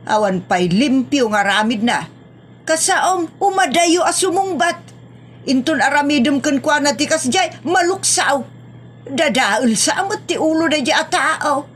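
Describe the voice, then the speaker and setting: A woman playing a brisk, nagging village woman in a comedy drama, chiding and chatty with an Ilocano accent. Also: high voice, animated, a bit bright, a little hiss or hum. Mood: scolding.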